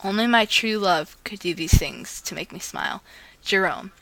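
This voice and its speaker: A woman reading romantic fan fiction aloud in a casual, expressive amateur style, stumbling over words and restarting as she goes. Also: mid-range voice, normal speed, gravelly, no echo, low-quality recording. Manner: gushing asides.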